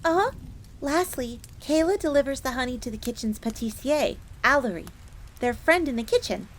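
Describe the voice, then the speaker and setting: A woman's voice: high-pitched, animated, moderate pace, slight background noise. A woman playing a devoted, easily flustered young bunny maid in a roleplay audio drama, speaking of herself in the third person. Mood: cheerful, eager.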